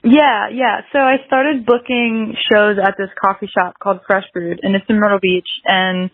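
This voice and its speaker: A woman's voice: mid-range voice, steady tone, moderate pace, phone-quality sound. A woman in her early twenties from South Carolina with an American accent, talking casually as an interview guest, hedging and saying "like" very often. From South Carolina, United States. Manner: hedging, very frequent "like" filler. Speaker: podcast guest, musician.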